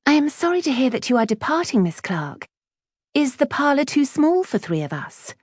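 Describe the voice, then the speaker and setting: Woman as a polite, spirited high-voiced noble schoolgirl. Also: sing-song, moderate pace, dry recording, studio quality. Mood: indignant. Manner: formal, polite, earnest. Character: polite, spirited young duke's daughter, new schoolgirl.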